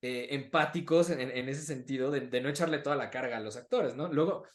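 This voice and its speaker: A man's voice: mid-range voice, fairly quick. A man with a Mexican accent hosting a casual, conversational interview. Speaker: interview host.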